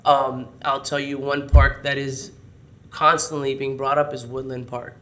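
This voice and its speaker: A man, a parks department director, explains programs at a city meeting while hoarse and losing his voice. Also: mid-range voice, a little slow. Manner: explanatory, steady briefing. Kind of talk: briefing at a public meeting. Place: city council chamber.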